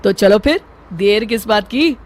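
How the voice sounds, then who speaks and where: A woman's voice: medium pitch, expressive, measured pace, faint music behind, close to an everyday voice. A woman voicing an earnest, cautious young man in a cartoon story, talking to his friend.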